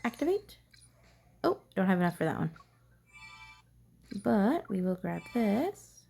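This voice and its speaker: A woman's voice: medium pitch, big pitch swings, a little slow, vocal fry, warm tone, soft background music. A woman giving casual gameplay commentary, thinking aloud to herself in a curious, musing way. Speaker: gamer narrating own playthrough.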